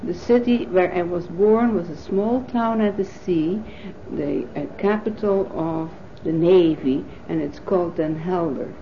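A woman in her fifties from the Netherlands, a native Dutch speaker, recalling her life in an oral history interview with thoughtful pauses and fillers. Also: fairly low pitch, a little hiss or hum. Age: 50s. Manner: thoughtful, pausing with frequent fillers. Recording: dull lo-fi 1980s tape recording.

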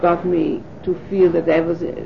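A woman in her fifties from the Netherlands, a native Dutch speaker, recalling her life in an oral history interview with thoughtful pauses and fillers. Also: lowish voice, flat delivery, gravelly, a little hiss or hum. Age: 50s. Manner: thoughtful, pausing with frequent fillers. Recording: dull lo-fi 1980s tape recording.

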